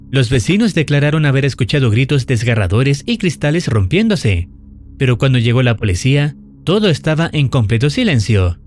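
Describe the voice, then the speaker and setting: A man narrates a scripted horror recap in a serious, measured way. His mid-range voice moves with lively intonation, over faint background music. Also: medium pitch.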